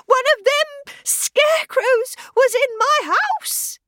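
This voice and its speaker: A woman playing a shrill, feisty village widow. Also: slow, no echo, clean studio sound, exaggerated voice. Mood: agitated. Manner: shrill, loud, dramatic exclamations.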